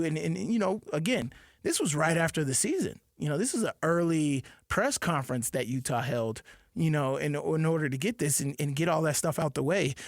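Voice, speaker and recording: A man's voice: a little high, no echo, clean studio sound. A man filling in as co-host on a sports radio show, talking emphatically and often tagging his points with questions. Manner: emphatic, keeps checking with tag questions, restarts words. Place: radio studio. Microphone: studio microphone.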